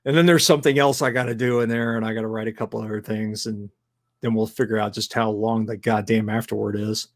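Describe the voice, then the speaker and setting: A man's voice: on the low side, steady tone, quick pace. A man co-hosting a podcast, chatting casually about his writing, rambling and swearing, laughing often. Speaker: podcast co-host, novelist. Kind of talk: casual chat.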